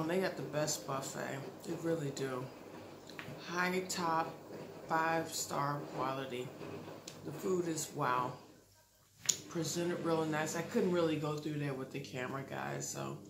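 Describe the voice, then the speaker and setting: A woman in her thirties chatting casually to her camera at home, rambling with lots of fillers and restarts. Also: low voice. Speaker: vlogger. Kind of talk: casual vlog chat. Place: living room, indoors. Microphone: camera close up. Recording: roomy echo, audible background noise.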